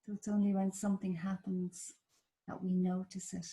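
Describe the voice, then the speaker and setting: A woman with an Irish accent leading a guided body scan meditation in a slow, soft, soothing manner. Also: mid-range voice, little pitch movement. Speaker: meditation teacher.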